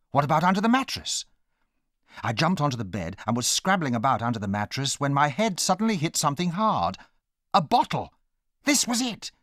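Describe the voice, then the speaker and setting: A man's voice: mid-range voice, a bit bright, dry recording, clean studio sound. A man acting as the narrator of a children's audio drama, recalling his own adventure with dramatic storytelling.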